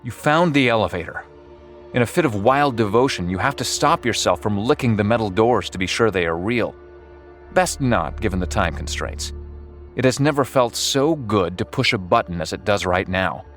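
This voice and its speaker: Male narrator for horror audio drama: low register, wry and darkly comic storyteller, music bed underneath. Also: expressive, moderate pace, a bit bright. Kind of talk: scripted second-person horror-comedy narration.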